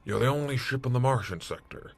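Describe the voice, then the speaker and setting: A man playing a blunt, callous military commander with a husky voice and clipped manner in a retro sci-fi radio drama. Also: mid-range voice, fast, lightly acted. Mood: grave. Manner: clipped, military, matter-of-fact. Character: blunt, callous male space-force commander.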